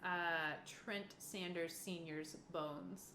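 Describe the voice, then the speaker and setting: A woman's voice: fairly low pitch, fairly even intonation, very slow, bright, forceful tone. Lady running a tabletop rpg, chatty narration, echoey room, faint noise. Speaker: game master. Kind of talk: casual tabletop game narration. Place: indoor room.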